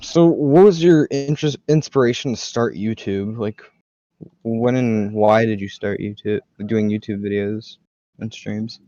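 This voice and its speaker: Man, American accent, podcast host, chatty and anecdotal, mellow tone, dull lo-fi dry mic. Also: lowish voice, measured pace, no echo. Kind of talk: casual podcast chat.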